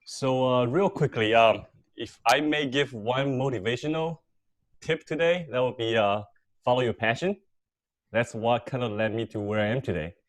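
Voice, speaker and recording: A young man who grew up between Taiwan and the United States, speaking as a guest presenter on a video call in a relaxed, filler-heavy storytelling way. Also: medium pitch.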